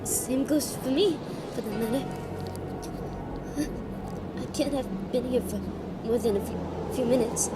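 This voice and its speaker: Woman acting a very high-pitched, defiant young adventurer. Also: unhurried, pauses often, loud music behind the voice. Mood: dazed confusion. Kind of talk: audio drama acting.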